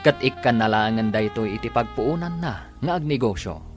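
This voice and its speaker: A man's voice: lowish voice, expressive, moderate pace. A man with an Ilocano accent reading dramatic scripted narration and announcements as the narrator of a radio drama. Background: music.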